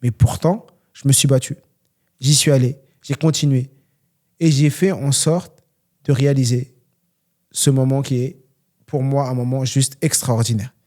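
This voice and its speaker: A man's voice: medium pitch, steady tone, measured pace, long pauses, warm tone. A young man from France, talking earnestly and motivationally on a solo question-and-answer podcast, with many fillers. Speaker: podcast host and trainer.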